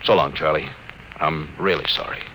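An actor playing a short-tempered but loyal spaceship captain in a classic radio drama, brusque and commanding. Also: male voice, rumbling low, steady tone, moderate pace. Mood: regretful, softer. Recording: noisy old radio recording.

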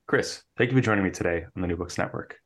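Very low-voiced male podcast host. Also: fast, a bit bright. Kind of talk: podcast interview.

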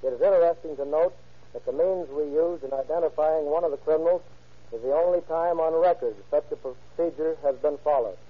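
A man's voice: fairly high pitch, a little fast, husky. A man, a police detective lieutenant, reading a prepared statement on the radio in a stiff, formal manner. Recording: tinny 1930s radio recording.

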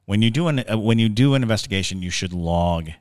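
Deep-voiced man explaining things, close and dry. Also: lively intonation, fast talker, dry recording. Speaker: podcast co-host. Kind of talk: casual explanatory talk.

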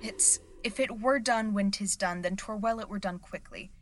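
A woman's voice: medium pitch, normal speed, faint music behind, close to an everyday voice. A woman playing a nervous, clumsy theater understudy, speaking in a hesitant, informal, flustered way in an audio drama. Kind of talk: audio fiction acting.

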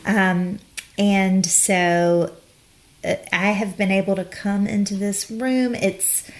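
A woman's voice: mid-range voice, steady tone. A woman in her forties, an interior designer, chatting as a guest in a friendly, bubbly way with frequent ums. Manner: bubbly, laughs often, halting with ums. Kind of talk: casual conversational interview.

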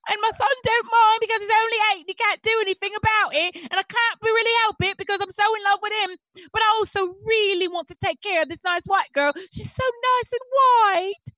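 A woman's voice: very high-pitched, fairly quick, few pauses, bright, forceful tone, no echo, pitched above the performer's own voice. A woman playing a gushing, shameless, lovestruck woman with singsong mockery, in a comic amateur script reading.

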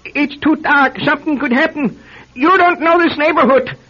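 An actor playing a fearful, paranoid old man in a radio drama, agitated, halting and repeating himself. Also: very high voice, sharp tone. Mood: agitated pleading. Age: older. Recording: muffled radio drama recording, faint noise.

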